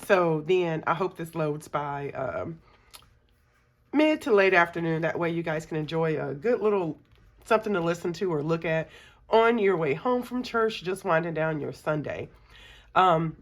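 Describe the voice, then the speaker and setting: A woman's voice: fairly low pitch, expressive, moderate pace. A middle-aged woman, a scratchy voice from a cold, chatting casually with many ums and counting money aloud. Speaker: budgeting channel host. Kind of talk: casual chat while cash stuffing.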